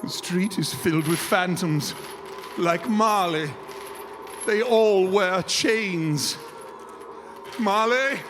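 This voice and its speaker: A man's voice: sits high, background noise, full character voice. A man playing a miserly, nervy old man in live radio theater, theatrical and hesitant, given to muttering. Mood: alarmed. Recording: reverberant live theater hall.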